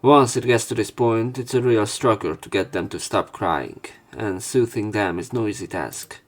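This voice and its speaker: A man's voice: on the low side, moderate pace. A man giving casual, sarcastic let's play commentary and reading the game's dialogue aloud, with some swearing.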